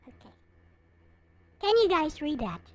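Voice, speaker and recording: A woman's voice: high-pitched, very animated, a little slow. A woman chatting casually to her viewers as she livestreams a game, talking to them directly. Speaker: live streamer. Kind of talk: casual livestream chat while playing and reading aloud a visual novel. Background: game music.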